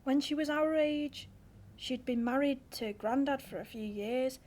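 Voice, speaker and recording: High-pitched, young woman, British accent, acted frustrated girlfriend, emotional, pleading, audio drama. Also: measured pace, darkish tone. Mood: wistful, troubled. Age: young adult. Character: frustrated, hurt young girlfriend stuck in lockdown.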